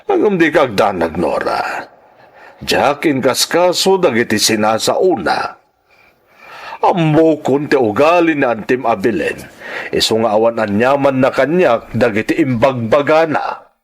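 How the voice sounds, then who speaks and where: A man with a Filipino accent playing a calm, protective middle-aged father in a radio drama, husky and reassuring. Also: mid-range voice, a little slow. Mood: concerned, reassuring. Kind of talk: Ilocano radio drama acting.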